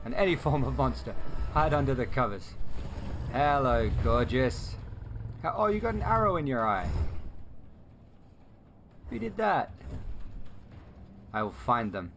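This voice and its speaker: A man's voice: medium pitch, very animated, a little slow, long pauses, a bit bright. Casual male gamer, music behind him. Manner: informal, thinking aloud, reacting to the game. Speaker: let's play host. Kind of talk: casual gaming commentary.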